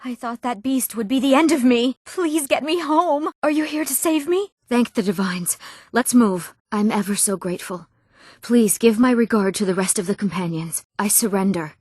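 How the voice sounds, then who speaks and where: A woman's voice: mid-range voice, moderate pace, a little mellow, no room sound, clean studio sound, lightly acted. A young woman playing an eager townswoman and bard in a fantasy game, speaking earnestly in short scripted lines. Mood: grateful, pleading. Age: young adult.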